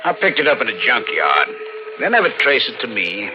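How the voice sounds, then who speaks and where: Muffled old radio drama, menacing American schemer, insinuating, mock-friendly, extremely animated intonation. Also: male voice, medium pitch, a little fast, noisy background. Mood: smug, conspiratorial. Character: scheming, menacing crook after his uncle's money. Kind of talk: 1940s radio thriller acting.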